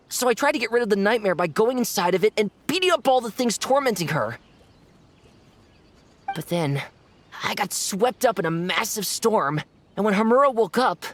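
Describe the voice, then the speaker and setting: A woman's voice: on the high side, a bit bright. A woman voicing a young boy who is an imaginary friend, earnest and impulsive. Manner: earnest and pleading. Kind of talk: video game voice acting.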